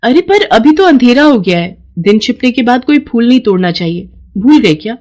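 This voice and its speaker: A woman playing an anxious, cautious village wife who gently nags and questions, in a children's moral story. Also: fairly high pitch, very animated, moderate pace, highs cut off, voice pushed up. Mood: hesitant, chiding. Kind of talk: animated moral story dialogue.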